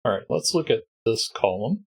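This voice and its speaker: A man with a congested, husky voice, calmly walking through a sudoku puzzle step by step as host. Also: on the low side, moderate pace. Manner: patient step-by-step explaining. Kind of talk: sudoku walkthrough.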